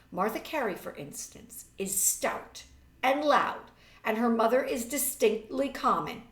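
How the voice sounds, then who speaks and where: Woman as a weary society mother, creaky voice. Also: fairly high pitch, pitched above the performer's own voice. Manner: weary, dismissive. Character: weary, disapproving middle-aged society mother. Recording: roomy, distant webcam microphone.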